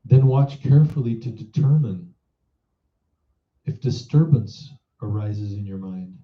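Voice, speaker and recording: A man leading a guided meditation as a teacher, in a measured, instructive manner, posing reflective questions. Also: lowish voice, expressive. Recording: roomy echo, dull muffled sound.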